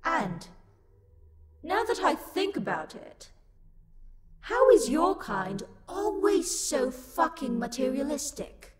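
A woman's voice: high-pitched, very animated, takes it slowly, crowd noise, heavily acted. A woman playing an angry, haughty, sassy luck demon in a roleplay audio drama, scornful, theatrical and exasperated.

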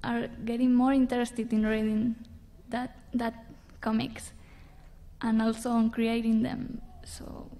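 A young woman giving a careful, halting answer as a panelist at a conference. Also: fairly high pitch, little pitch movement, dark tone. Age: young adult. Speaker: panelist, comic creator. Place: conference room stage. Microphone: table microphone on stand.

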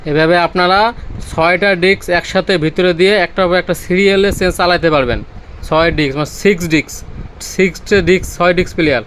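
A man's voice: high voice, quick pace, a bit bright, a little hiss or hum. A man with a Bangladeshi accent giving a casual, repetitive sales demonstration of audio equipment.

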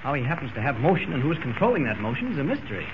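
An actor playing a calm young man newspaper reporter in 1940s radio-drama style, steady and polite, on a muffled old recording with hiss. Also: mid-range voice, rapid-fire, lightly acted. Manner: steady, matter-of-fact, polite.

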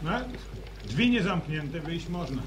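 A man's voice: on the high side, very animated, takes it slowly, noisy background. An actor playing a skeptical, teasing young village man in a 1950s radio drama. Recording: old radio broadcast recording.

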